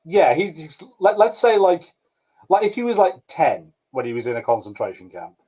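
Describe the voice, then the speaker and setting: A man's voice: on the high side, big pitch swings, moderate pace, darkish tone, husky, poor audio. A man co-hosting a podcast in casual chat, restarting his sentences often as he gives opinions. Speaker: podcast co-host.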